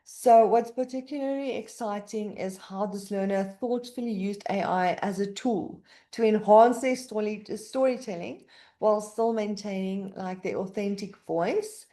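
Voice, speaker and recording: A woman's voice: mid-range voice, measured pace, warm tone. A woman presenting a university webinar in a conversational way, with frequent fillers and self-corrections. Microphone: computer mic on a video call.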